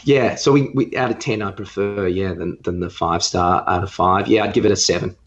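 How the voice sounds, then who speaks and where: Man, lowish voice, casual rambling podcast chat, opinionated, slightly muffled recording. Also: brisk. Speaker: podcast co-host. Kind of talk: casual film review chat.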